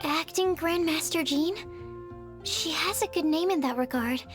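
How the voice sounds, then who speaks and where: A sweet young female alchemist's assistant from a video game. The voice is extremely high, with an earnest, polite and gently explanatory delivery. Faint music plays underneath. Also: unhurried. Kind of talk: video game character acting. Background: background music.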